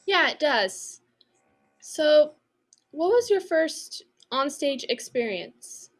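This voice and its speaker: High, lively woman interviewer. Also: slow pace. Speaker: host. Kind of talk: asking interview questions.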